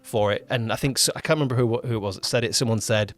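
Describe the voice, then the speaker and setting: A man's voice: medium pitch, very fast, dry recording, soft background music. A man co-hosting a casual behind-the-scenes podcast as a producer, rambling and often rewording himself mid-sentence. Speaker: podcast co-host, audio drama producer-director.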